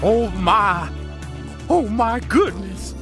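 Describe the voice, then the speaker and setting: A woman voicing an excitable, wide-eyed young male farmer in a children's storybook cartoon. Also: medium pitch, very slow, over music. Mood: astonished.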